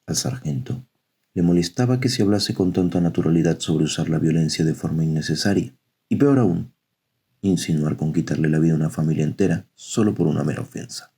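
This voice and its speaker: Extremely deep, husky male storyteller. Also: dark tone. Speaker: narrator. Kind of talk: scripted dramatic story narration.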